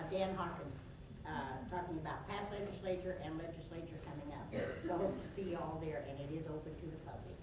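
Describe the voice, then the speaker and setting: A woman reading club announcements in a plain, slightly halting way. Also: medium pitch. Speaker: meeting organizer. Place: community meeting room. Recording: very echoey room, far from the mic, noisy.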